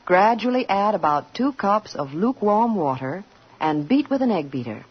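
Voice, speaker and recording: A woman's voice: medium pitch, big pitch swings, moderate pace, breathy edge. A woman reading a scripted 1940s radio commercial, giving instructions. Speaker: commercial announcer. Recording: dull 1940s radio recording.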